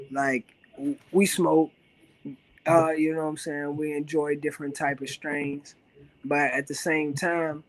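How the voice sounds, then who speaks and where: A man from Washington, D.C. with a raspy voice, talking about his music as a guest in a casual, laid-back interview full of filler phrases. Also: mid-range voice, no echo, low-quality recording. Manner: laid-back, loose, full of "you know what I'm saying". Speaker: rapper guest.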